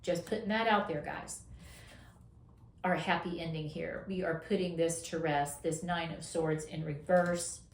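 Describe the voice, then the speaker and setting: A woman tarot reader talks through a casual weekly reading in an encouraging, affirming tone. She stretches out the words she wants to stress. Her voice is going hoarse, and the room gives it a noticeable echo. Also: lowish voice, fairly even intonation, slight background noise. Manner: encouraging, affirming, drawn-out emphasis. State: losing her voice, coughing.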